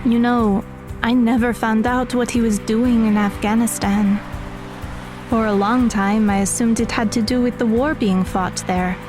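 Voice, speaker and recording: A woman's voice: fairly high pitch, steady tone, measured pace, natural voice. An actress playing a guarded, serious, bitter teenage girl in an audio drama. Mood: brooding. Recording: music behind the voice.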